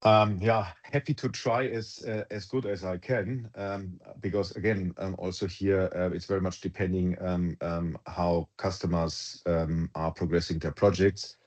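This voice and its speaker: A man answering analyst questions on an earnings call, halting and full of ums and restarts. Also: deep voice, flat delivery, a little mellow. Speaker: company executive answering analysts.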